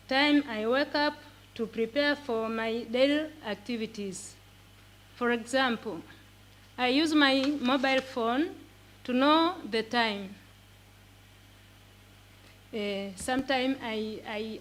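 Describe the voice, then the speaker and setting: Female speaker, Tanzanian accent, native Swahili. Slightly high pitch, slow, halting prepared-talk delivery with repeated words. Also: a bit bright, slight background noise. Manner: halting, repeating words as she searches for them. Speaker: pre-primary teacher and conference speaker. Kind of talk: prepared talk in simple English.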